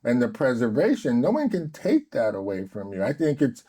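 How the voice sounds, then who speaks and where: A man's voice: medium pitch, huge pitch swings, normal speed, mellow, breathy edge. A man who is a podcast guest, explaining ideas to a friend in a patient, enthusiastic way and drifting into tangents.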